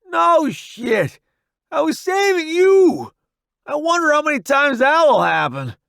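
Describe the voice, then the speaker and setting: Man acting a high-pitched, shouting young soldier. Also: big pitch swings, takes it slowly, gravelly, no echo, clean studio sound, heavily acted. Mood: pained, exasperated. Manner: shouting, yelling orders. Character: reckless, gung-ho young male soldier under fire. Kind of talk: dramatised audiobook character dialogue.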